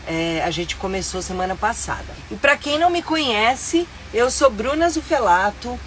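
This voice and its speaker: Brazilian woman, middle-aged, a bit nasal from a cold, chatty and upbeat live host, medium pitch, faint hum of a vehicle behind her. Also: fairly bright tone, low-quality recording. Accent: Brazilian Portuguese. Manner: chatty and upbeat, with frequent fillers. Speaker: live-stream host and tour guide. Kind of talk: casual live-stream chat. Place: inside her motorhome.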